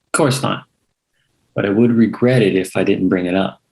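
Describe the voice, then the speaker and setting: A man playing an earnest, meddling skeptic at a screenplay table read, with measured, pointed delivery. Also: fairly low pitch, vocal fry, darkish tone, rough recording. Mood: disapproving. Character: earnest, meddling man casting doubt on someone.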